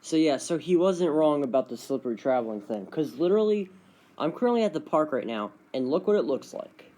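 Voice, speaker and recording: A man's voice: fairly high pitch, lively intonation, fairly quick, dark tone. A man giving casual, off-the-cuff vlog commentary in a rambling, conversational way. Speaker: vlogger.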